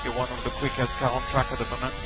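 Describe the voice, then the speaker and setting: A man's voice: medium pitch, monotone, rapid-fire. A man working as a race engineer, giving clipped, procedural instructions over team radio. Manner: clipped, procedural, repetitive phrasing. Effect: team radio transmission. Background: loud car engine and radio noise.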